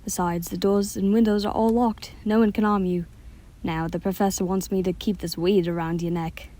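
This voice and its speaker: A young woman playing a gentle, dutiful maid, soft and submissive, in a radio drama. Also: mid-range voice, steady tone, moderate pace, natural voice. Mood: soothing. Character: gentle, dutiful female maid, obedient under a spell. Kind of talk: stage-style radio drama acting.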